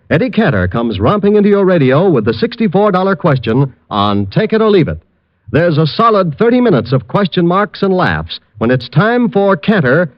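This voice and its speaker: A man's voice: fairly high pitch, dry recording. A man announcing network programs in a polished, emphatic vintage radio promotional style. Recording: thin, phone-like old radio recording.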